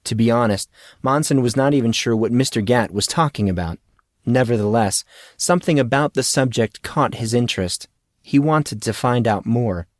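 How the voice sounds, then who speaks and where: A male audiobook narrator reads a scripted fantasy story. His voice sits at a medium pitch, and the recording is dry and close, with very little room in it. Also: moderate pace, no echo.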